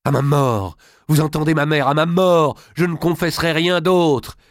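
A passionate young noblewoman as a male storyteller puts her on. The voice is lifted high and pushed with vehement emphasis. She is proud and defiant, in a dry audiobook recording. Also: fairly even intonation, moderate pace, fairly bright tone, no room sound, natural voice. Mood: indignant, vehement. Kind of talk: dramatised audiobook dialogue.

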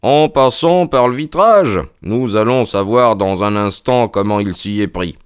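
A man voicing a sharp, confident detective in a brisk, authoritative, emphatic way. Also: lowish voice, clean tone, no echo, studio quality, close to an everyday voice. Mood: keen, urgent. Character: sharp, commanding male detective, confident and urgent.

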